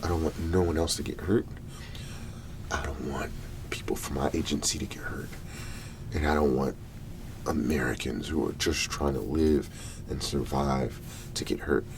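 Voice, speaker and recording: A man playing a hesitant government agent in an ASMR roleplay, speaking in a soft, gravelly half-whisper with halting, reassuring delivery. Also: rumbling low, fairly even intonation, noisy background. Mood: earnest, reassuring. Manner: halting, deliberate, reassuring, with long pauses. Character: hesitant, placating male government agent negotiating with a farmer.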